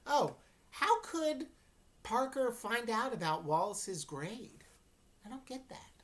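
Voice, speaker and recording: A woman teacher giving an informal read-aloud lesson, explaining things in an unhurried way and checking that listeners follow. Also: a little high, expressive. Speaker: English teacher reading aloud to students.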